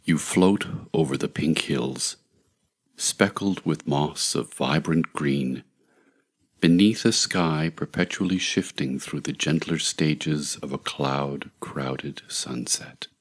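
A man narrating a scripted guided meditation in a measured, soothing, deliberate way, with long pauses. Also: very deep voice, slightly rough.

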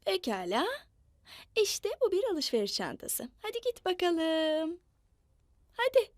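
A woman telling a story to young children in a playful, expressive, repetitive way. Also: very high-pitched, no echo, clean studio sound. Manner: slow, repetitive, expressive delivery with animal mooing.

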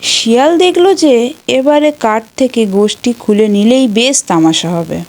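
A woman's voice: a little high, animated, unhurried, a little mellow. A woman narrating a scripted children's folktale as a storyteller.

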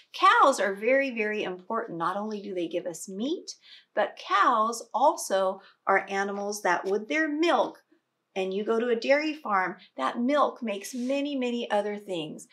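A middle-aged woman reading a picture book aloud expressively and explaining it to young children as a teacher. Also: mid-range voice. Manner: slow, expressive, explanatory, dramatic stresses.

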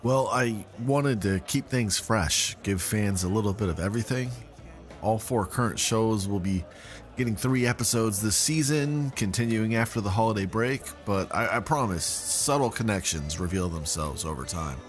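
A man playing an earnest podcast creator in a scripted audio drama, measured and explanatory. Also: on the low side, natural voice. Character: earnest male podcast creator being grilled by detectives. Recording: music under the voice.

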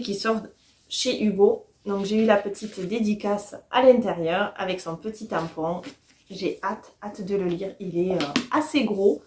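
A woman's voice: mid-range voice, darkish tone. A woman, chatting casually and enthusiastically to the camera as a book vlogger. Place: small furnished room at home, indoors.

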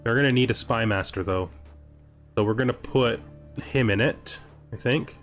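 A man's voice: medium pitch, moderate pace, fairly bright tone, soft background music. A man giving casual strategy game commentary as host, thinking aloud and reading event text. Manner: thinking aloud, asking himself questions. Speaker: let's-play host.

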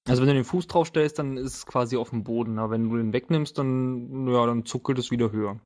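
A man leads a tabletop role-playing game as game master. His voice sits at a medium pitch. He describes scenes off the cuff, in a halting, hesitant way, and slips into casual banter. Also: fairly even intonation, darkish tone.

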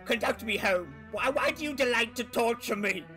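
A young man as a shrill, tormented old miser. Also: lively intonation, normal speed, pushed and bright, soft background music, exaggerated voice. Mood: anguished pleading. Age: teenage. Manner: anguished, theatrical. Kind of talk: radio drama acting.

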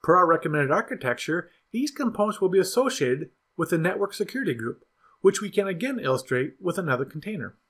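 A man narrating a scripted software tutorial in an even, instructional way. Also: sits high, fast. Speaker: product demo narrator.

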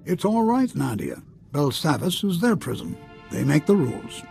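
Creaky, dignified senator father. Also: on the high side, big pitch swings, normal speed, a little mellow, faint music behind. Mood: earnest. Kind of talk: video game voice acting.

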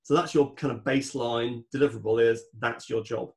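A man's voice: mid-range voice, steady tone, fairly quick, a little mellow. A man speaking as a guest expert on a podcast, explaining things in a methodical, instructive way. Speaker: guest expert on home renovation project management.

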